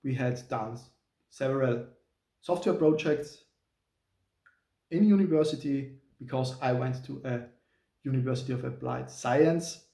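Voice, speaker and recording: A man's voice: medium pitch, a little slow, creaky, pauses often, dark tone. A man in his thirties from Austria, a native German speaker with an Austrian German accent, talking to camera in a careful, hesitant non-native English with small restarts. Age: 30s. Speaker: channel host, software engineer. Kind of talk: casual talking-head vlog. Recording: roomy sound from a distant mic.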